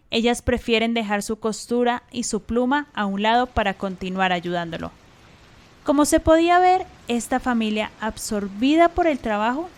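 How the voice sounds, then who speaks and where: Woman narrator, fairly high voice, scripted storytelling, radio drama. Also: smooth.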